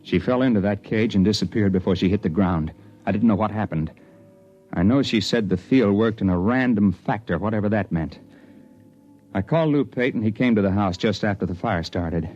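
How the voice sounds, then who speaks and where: An American actor playing a stubborn, suspicious investigator in a 1950s radio drama. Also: male voice, fairly low pitch, a little fast, a little mellow, close to an everyday voice. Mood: grim narration. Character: hard-boiled male investigator, stubborn, suspicious and driven. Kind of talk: 1950s radio drama acting and first-person narration. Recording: vintage 1950s radio, muffled, faint music.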